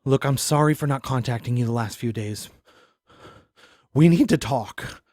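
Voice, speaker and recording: An actor playing a haunted, paranoid man who narrates his own mystery in an audio drama, brooding and deliberate, with a husky voice. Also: mid-range voice, darkish tone, dry recording, clean studio sound, close to an everyday voice. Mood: desperate, pleading.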